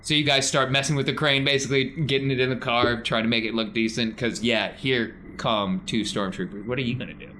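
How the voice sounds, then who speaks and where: A man's voice: medium pitch, fairly even intonation, fairly quick, hardly any pauses, bright, forceful tone. A man narrating a tabletop game as game master in a conversational, encouraging way. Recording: clear background noise.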